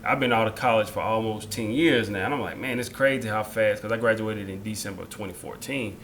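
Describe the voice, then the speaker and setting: A man in his thirties hosting an interview, talking in a relaxed, conversational way that often restarts its phrases. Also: on the low side, brisk, slight background noise. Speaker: interview host. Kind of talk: casual interview talk.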